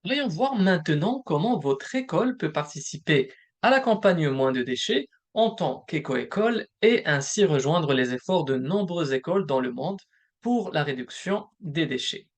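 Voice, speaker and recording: A man presenting a recorded webinar as a program manager, explaining points one by one in a measured way. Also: fairly high pitch, expressive. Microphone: recorded presentation voice-over.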